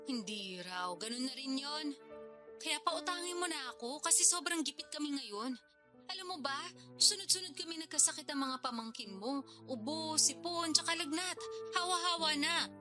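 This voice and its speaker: Music plays under a very high female voice with a Filipino accent. An actress plays a pushy big sister from the province in a radio drama. She needles, complains and wheedles for money. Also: expressive, moderate pace. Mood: pleading, wheedling. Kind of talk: Tagalog radio-drama phone dialogue. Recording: music bed behind the voice.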